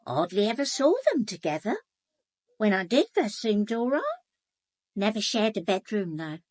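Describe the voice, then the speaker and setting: An actress playing a gossipy, blunt English housekeeper in a British radio drama, chatty, colloquial and confiding. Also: female voice, mid-range voice, sing-song, normal speed, a little mellow, dry recording, clean studio sound. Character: gossipy, blunt, sharp-eyed housekeeper, a working-class woman. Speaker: actor in a radio murder mystery.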